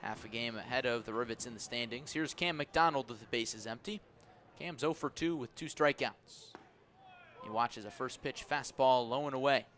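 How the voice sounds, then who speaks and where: A man calling baseball play-by-play on the radio in measured, descriptive, clipped phrases. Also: medium pitch, a bit bright, a little hiss or hum. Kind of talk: radio sports commentary.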